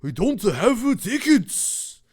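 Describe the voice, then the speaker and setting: A man's voice: sits high, sing-song, takes it slowly, darkish tone, slightly rough, pitched above the performer's own voice, exaggerated voice. A man voicing a villain's sidekick who speaks hesitantly with fillers. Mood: plotting.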